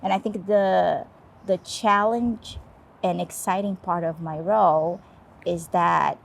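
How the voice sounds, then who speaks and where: A woman's voice: lowish voice, fairly even intonation, takes it slowly, vocal fry, a little hiss or hum. A woman with a Brazilian Portuguese accent, a guest speaking English as her second language, talking casually and often adding "right?". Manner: fillers, frequent tag "right?". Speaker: HR business partner, guest. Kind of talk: casual interview talk.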